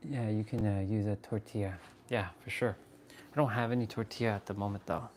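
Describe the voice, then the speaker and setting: A man's voice: lowish voice, fairly even intonation, fairly quick, darkish tone, slight background noise. A Canadian-born man hosting a live stream, chatting casually as he cooks and answers viewer questions. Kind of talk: casual live-stream chat while cooking. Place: home kitchen.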